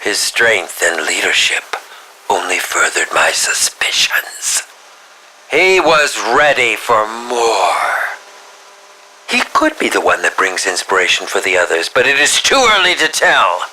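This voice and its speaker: An actor playing a pompous, calculating villain who savors horror, delivering an ornate, theatrical and deliberate monologue for a video game. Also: male voice, on the low side, sing-song, bright, forceful tone, heavily acted, poor audio. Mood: scheming. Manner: ornate, deliberate, theatrical, breathy dips into near-whisper. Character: sinister, pompous male filmmaker villain, coolly calculating connoisseur of horror.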